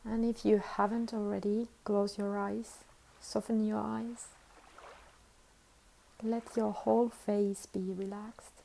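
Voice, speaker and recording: A woman leading a guided meditation as a teacher, with soothing, unhurried instructions and long pauses. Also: mid-range voice, flat delivery, gravelly, mellow, a little hiss or hum, poor audio.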